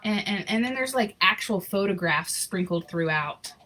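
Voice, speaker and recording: A woman reads a children's picture book aloud at a medium pitch. She tells it expressively and without hurry, with a sentimental streak, and slips into casual asides to her listeners. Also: animated, moderate pace, sharp tone, a little hiss or hum. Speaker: storybook reader.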